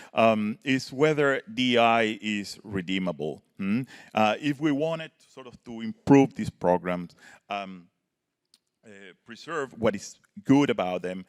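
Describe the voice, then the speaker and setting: A man speaks in a fairly low voice, laying out an argument on a discussion panel. He talks earnestly and keeps checking in with his listeners by tagging "right?" onto his points. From Chile. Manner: earnest, arguing a point, often tags "right?". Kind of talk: panel talk, prepared remarks.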